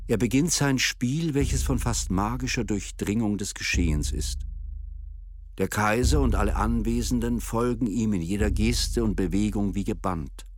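A man narrating a radio drama in a measured, solemn, literary storytelling manner. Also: lowish voice. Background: music.

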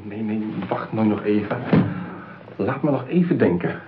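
An actor playing an impulsive, insecure yet self-satisfied man in a radio play, insistent and excitable in manner. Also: on the low side, moderate pace, heavy background noise, natural voice. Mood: indignant, wavering. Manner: insistent, excitable, swinging from boast to doubt. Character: impulsive, insecure, self-satisfied male customer seeking a bride. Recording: muffled, narrow-band radio play recording.

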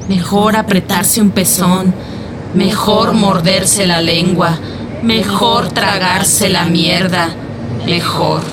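A woman's voice: on the low side, noisy background. An actress playing a bitter, gossipy middle-aged Mexican small-town mother with a biting manner in a radio drama. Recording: music behind the voice.

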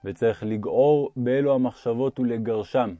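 A man's voice: medium pitch, mellow, no echo, lo-fi sound. A man reading a religious text aloud as an audiobook narrator.

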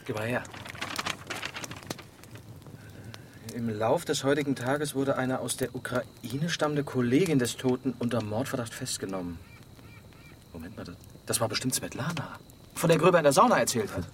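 An actor playing a journalist in a radio drama, with a direct, inquisitive way of talking. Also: male voice, mid-range voice, expressive, a little fast, a little hiss or hum, close to an everyday voice. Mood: intrigued. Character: probing male journalist questioning a witness.

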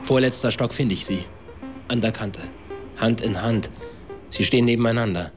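A man's voice: mid-range voice, moderate pace, close to an everyday voice. An actor playing a cocky young man who recounts events in a slangy, clipped, matter-of-fact way in a radio drama. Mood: uneasy recall. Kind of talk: German radio drama acting, confessional narration. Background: music.

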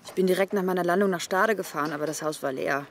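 A woman's voice: on the low side, quick pace, a little hiss or hum, natural voice. An actress playing a worried, exasperated young woman in a radio drama, moving between dialogue and first-person narration. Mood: reflective, tense. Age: young adult. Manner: exasperated, questioning.

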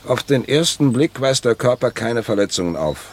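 An actor playing a brisk, authoritative public prosecutor in a radio crime drama, speaking in clipped, formal dictation. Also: male voice, medium pitch, lively intonation, natural voice. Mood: formal, dictating. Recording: noisy outdoor ambience with birds.

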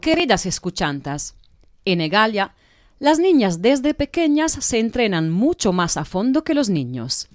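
An actress playing a wry voice-over narrator who addresses listeners in a satirical audio drama. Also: female voice, mid-range voice, no room sound, studio quality, lightly acted.

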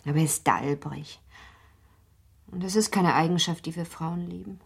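A girlish yet guarded young wife in a radio drama. Her voice is low and very husky, with a breathy edge on her words. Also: normal speed, full character voice. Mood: cool, dismissive. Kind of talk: German radio play acting.

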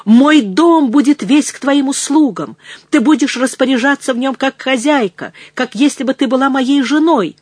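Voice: medium pitch, expressive, measured pace, a little mellow, no echo. Narrator acting jealous male suitor, ardent, wheedling, menacing, audiobook drama, dry studio sound. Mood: ardent, coaxing. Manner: wheedling and threatening by turns.

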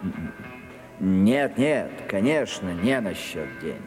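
An actor playing a stiff, insistent English theatre impresario in a radio play, speaking formally and haltingly. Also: male voice, low-pitched, sing-song, a little mellow, full character voice. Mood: awkward, evasive. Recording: reverberant hall sound, music behind.

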